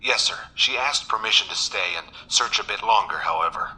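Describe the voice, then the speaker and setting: An actor playing a dutiful military officer making a report in an audio drama, formal and respectful. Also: male voice, lowish voice, fairly quick, pushed and bright, poor audio.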